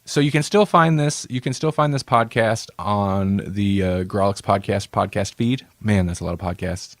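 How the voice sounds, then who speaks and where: A man's voice: lowish voice, moderate pace, no room sound. A male podcast host in casual banter, playful and rambling, laughing often.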